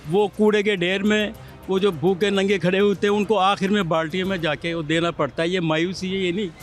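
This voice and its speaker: A man's voice: high voice, steady tone, fairly quick. A man with a Pakistani accent laying out his reasons as he gives an opinion in a street interview. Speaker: member of the public interviewed. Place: outdoors on a city street. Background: music over urban outdoor sound.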